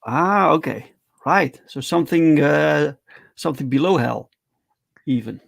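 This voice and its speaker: Gravelly man with a slight stutter. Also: a little high, lively intonation, unhurried, a bit bright, dry recording, clean studio sound. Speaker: podcast host. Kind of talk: casual interview chat.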